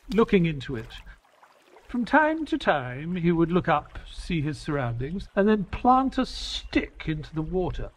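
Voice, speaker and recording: Audio drama companion-narrator, male actor, skeptical grumbler, higher register, unhurried and husky. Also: exaggerated voice, rough recording. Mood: narrating. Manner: exasperated, expressive storytelling.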